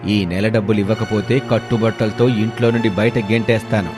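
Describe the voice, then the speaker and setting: A man's voice: on the low side, flat delivery, fairly quick, music in the background, close to an everyday voice. A man voicing a stern, threatening landlord in a story, scolding and demanding. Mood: angry.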